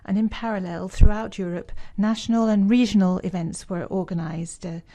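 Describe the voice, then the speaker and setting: A woman's voice: mid-range voice, steady tone, normal speed, warm tone. A woman working as a simultaneous interpreter at a formal conference, rendering speeches into English with frequent fillers and restarts. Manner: hesitant, many fillers while keeping up with the speaker. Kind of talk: live interpretation of citizen speeches.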